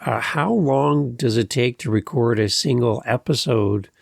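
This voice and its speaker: A man's voice: mid-range voice, a little slow, gravelly, no room sound, studio quality. A man casually interviewing a guest as a podcast host.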